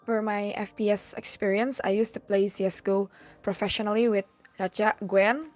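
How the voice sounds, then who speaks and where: A woman's voice: medium pitch, flat delivery, moderate pace, a little mellow. Woman pro gamer, short plain answers, music faint behind. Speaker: esports player. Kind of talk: recorded player interview.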